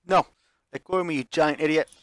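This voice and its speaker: A man puts on a fiery visual novel heroine in a raised voice. She is loud, urgent and desperate, flinging scolding words. The recording is dry and close. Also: on the high side, fairly even intonation, fairly quick, clean tone, dry recording, pitched above the performer's own voice, natural voice. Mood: desperate anger.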